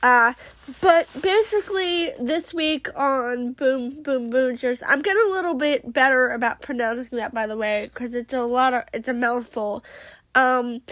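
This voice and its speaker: A woman's voice: high voice, a little slow, a bit bright, no echo. A woman hosting a casual solo recap podcast, a little sniffly from a cold, chatty and rambling with many fillers and restarts. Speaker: podcast host.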